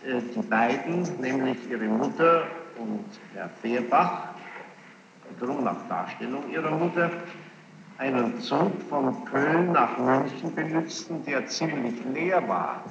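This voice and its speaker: A man questioning a witness as a judge in court, formal and insistent, halting and repeating himself as he builds each question. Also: medium pitch. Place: large echoing courtroom. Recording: noisy muffled archival courtroom tape, echoing hall.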